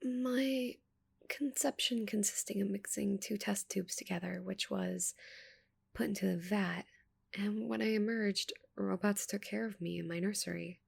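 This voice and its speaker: A woman voicing a shy, curious giant female alien in a soft, breathy ASMR roleplay, with a hesitant, stammering manner. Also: medium pitch, steady tone, a little slow, heavily acted. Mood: wistful, intimate.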